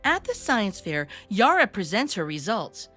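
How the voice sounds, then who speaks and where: A woman reading a picture book aloud to young children with expressive, deliberate delivery and dramatic emphasis. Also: mid-range voice, faint music behind. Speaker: children's read-aloud storyteller.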